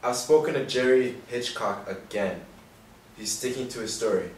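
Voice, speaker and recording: An actor playing a persistent, matter-of-fact police detective, earnest and insistent, in a stage play reading. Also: male voice, mid-range voice, normal speed, lightly acted. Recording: roomy distant stage recording, faint noise.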